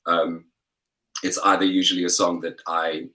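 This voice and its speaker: A young man, a singer-songwriter guest, chatting in an interview over a video call in a relaxed, rambling way full of fillers. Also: low voice, moderate pace. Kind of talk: casual interview chat.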